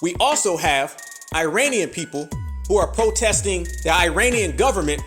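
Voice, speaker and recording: A man's voice: high-pitched, lively intonation, normal speed, a bit bright, faint music behind. A man co-hosting a podcast, giving emphatic, profane commentary and calling his listeners family. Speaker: podcast co-host.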